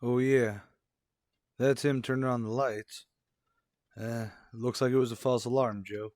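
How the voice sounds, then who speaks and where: A man's voice: medium pitch, steady tone, unhurried, darkish tone, no echo, clean studio sound, lightly acted. A man playing a plain-spoken police detective, the loyal partner, in an old-time radio crime drama. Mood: uneasy, alert.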